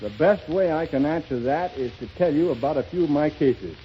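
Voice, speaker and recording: A man's voice: a little high, a little fast. A man who is a physical trainer, a guest on a scripted radio show, telling modest, joking stories on an old 1930s broadcast recording. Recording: muffled 1930s radio broadcast, faint hiss.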